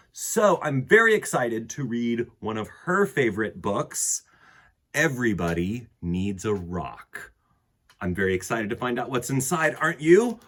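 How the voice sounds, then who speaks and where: A man with a husky voice reading a picture book aloud to children in an expressive way, with dramatic pauses and emphasis. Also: medium pitch. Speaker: children's storytime host and reader.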